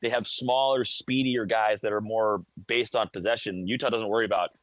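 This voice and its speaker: A man's voice: on the low side, steady tone, brisk, fairly bright tone, no echo. A man talking casually as a hockey radio announcer, explaining things conversationally in a live game-day report. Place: high broadcast booth inside a hockey arena.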